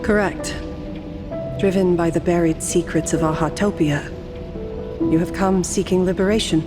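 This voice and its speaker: A woman voicing a serene, millennium-old painter and guardian in a video game, calm, formal and lyrical. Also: fairly low pitch, normal speed, close to an everyday voice. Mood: solemn, foreboding. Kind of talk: poetic scripted game dialogue. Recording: music under the voice.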